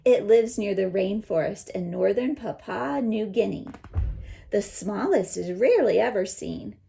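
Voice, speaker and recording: A woman teacher reading a children's picture book aloud and pausing to explain it in simple words. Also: medium pitch, lively intonation, gravelly, mellow.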